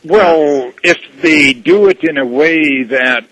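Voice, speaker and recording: A man on a phone call, answering casually as a church representative, in a measured, guarded, explanatory way. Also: on the high side, fairly bright tone, no echo.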